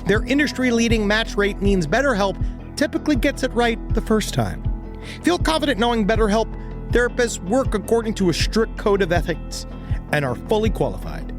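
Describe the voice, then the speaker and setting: A man with a high, very lively voice reads podcast ads over faint music. He keeps it jokey and wanders into silly asides between the pitches. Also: moderate pace. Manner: jokey, drifts into silly tangents. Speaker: podcast host reading ads. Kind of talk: playful ad read.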